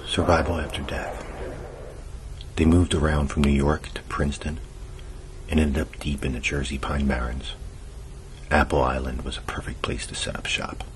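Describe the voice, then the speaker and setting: A man acting as a dry, earnest conspiracy-minded cousin in an ASMR audio drama, speaking in a sardonic, colloquial, explanatory way. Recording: noisy low-quality amateur recording.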